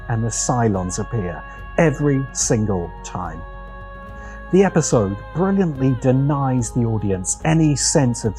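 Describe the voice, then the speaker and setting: Mellow-voiced man narrating a video essay. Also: mid-range voice. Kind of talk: scripted narration.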